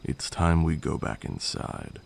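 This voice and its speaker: Guy doing a haughty caring vampire lord for asmr, super creaky stern voice, echoey room with some noise behind. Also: extremely low pitch, big pitch swings, a little slow, a little mellow, full character voice. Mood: firm, concerned. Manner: stern, formal, scolding. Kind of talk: ASMR roleplay.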